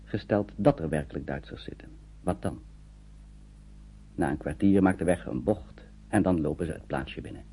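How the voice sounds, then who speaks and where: A man's voice: sits low, moderate pace, dark tone, breathy edge. A man narrating a radio drama as a storyteller, in scripted, dramatic prose, on an old radio recording. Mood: grave, dramatic. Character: storyteller narrating a tense wartime journey.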